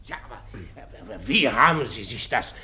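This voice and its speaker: A man playing a flustered, doubtful prime minister in a radio play, speaking haltingly, on a noisy recording. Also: medium pitch, lively intonation, bright, forceful tone, breathy edge, exaggerated voice.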